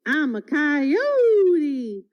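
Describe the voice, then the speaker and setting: A woman's voice: sits high, big pitch swings, pitched above the performer's own voice, exaggerated voice. A woman voicing a wild, stubborn young man raised by coyotes in a storybook, with emphatic, insistent delivery. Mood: matter-of-fact. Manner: emphatic, drawn-out, insistent protests. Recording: dull, lo-fi audio.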